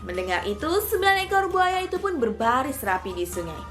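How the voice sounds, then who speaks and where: A woman's voice: high voice, big pitch swings, a little fast, a bit bright, faint music behind. A woman storyteller speaking to young children in a motherly, instructive way.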